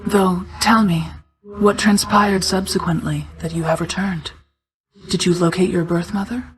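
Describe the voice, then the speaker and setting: A woman voicing a solemn, regal night goddess and protective mother figure in a video game, speaking in a formal, measured, restrained way. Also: fairly low pitch, steady tone, close to an everyday voice. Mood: solemn, caring. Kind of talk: scripted video game character dialogue.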